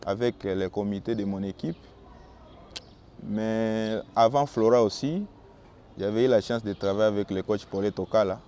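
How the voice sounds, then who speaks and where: A young man from Bukavu with a Congolese French accent, Swahili his first language, calmly answering interview questions as a football goalkeeper. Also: lowish voice, a little hiss or hum. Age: young adult. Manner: calm and measured, with small restarts.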